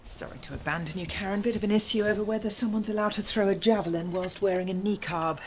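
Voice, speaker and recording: An actress playing a condescending school headmistress who speaks in a dry, patronising, precise way. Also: female voice, mid-range voice, steady tone, fast talker, slight background noise, lightly acted. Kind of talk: sitcom acting.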